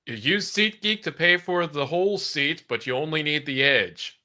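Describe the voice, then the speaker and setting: Very bright, sharp-voiced man in sports chat. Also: a little high, normal speed. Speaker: co-commentator. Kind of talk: casual sports booth chat.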